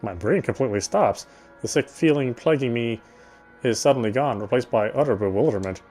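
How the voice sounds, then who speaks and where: A man's voice: medium pitch, brisk, darkish tone, soft background music. A man playing a visual novel game, reading its first-person narration aloud. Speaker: game player reading story text aloud.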